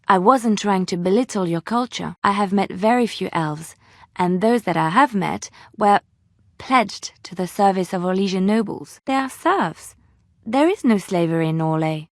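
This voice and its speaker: A woman playing a devout, gentle, romantic young companion in a fantasy video game, speaking in formal, earnest phrases. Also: medium pitch, no room sound, studio quality, natural voice.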